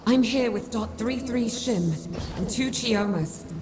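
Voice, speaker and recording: A woman playing a businesslike, resolute scientist leaving recorded messages in a video game, measured and matter-of-fact. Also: a little high, background noise. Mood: resolute, hopeful. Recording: very loud music behind.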